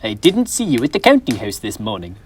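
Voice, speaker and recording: A man's voice: fairly low pitch, huge pitch swings, brisk, slight background noise. A teenage boy playing a reformed, now generous old miser in a school stage play, speaking earnestly with formal old-fashioned phrasing. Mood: kind. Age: teens.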